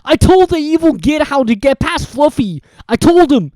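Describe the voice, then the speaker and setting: A reader voicing a huge, soft-hearted, bearded male groundskeeper who talks loudly and roughly. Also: mid-range voice, normal speed, no echo, pitched above the performer's own voice, natural voice. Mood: sobbing. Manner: loud, blubbering and rough-spoken.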